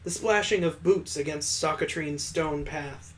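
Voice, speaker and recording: A man's voice: on the high side, measured pace, fairly bright tone, rough recording. A man playing a visual novel, reading its narration aloud as a let's player.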